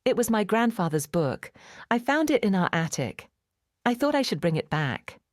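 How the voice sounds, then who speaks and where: A woman's voice: medium pitch, very animated, normal speed, darkish tone, no room sound, clean studio sound, pitched above the performer's own voice, lightly acted. A woman storyteller voicing a shy, polite, earnest young boy in a storybook.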